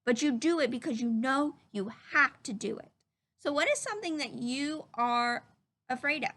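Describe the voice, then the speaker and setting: A woman reading a storybook aloud for children, expressive, with simple explanatory asides to young listeners. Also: high voice, moderate pace.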